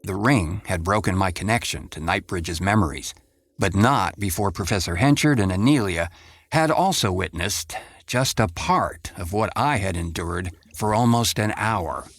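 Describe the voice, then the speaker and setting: A man's voice: low-pitched, lively intonation, normal speed, no echo. An actor playing a wry, take-charge male leader in a science-fiction audio drama, with dry quips and firm commands. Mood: grave. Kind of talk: cinematic audio drama acting.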